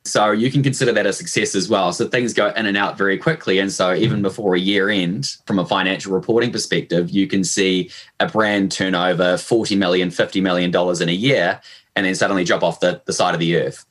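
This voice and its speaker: A young man with a New Zealand accent in his late twenties, a podcast guest answering questions in a relaxed, easygoing way, often hedging with fillers. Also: low-pitched, fairly quick. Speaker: podcast guest, e-commerce manager. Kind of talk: casual interview answers.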